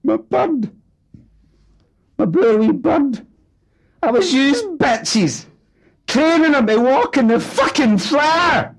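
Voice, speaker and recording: A man voicing a fat, hot-tempered middle-aged man who shouts at a strained high pitch. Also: animated, takes it slowly, gravelly, long pauses, full character voice. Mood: bawling grief.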